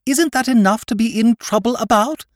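A man voicing a distraught, fragile young aristocratic woman with a shrill, near-hysterical way of pleading. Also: normal speed, dry recording, studio quality. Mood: bitter, weary.

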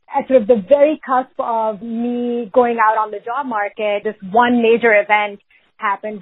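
A woman from Pakistan, a researcher answering interview questions in a measured way, often hedging with "sort of" and "if you will". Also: medium pitch, breathy edge, no room sound. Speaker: researcher and guest. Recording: phone-like remote line.

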